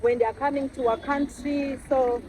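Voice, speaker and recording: A young woman talks to her vlog viewers in a high, bright-sounding voice. She is chatty and casual, keeps calling them guys, and laughs easily. Faint street noise sits behind her outdoors. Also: fairly even intonation, normal speed, poor audio. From Uganda. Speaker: travel vlogger. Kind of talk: casual vlog chat to camera.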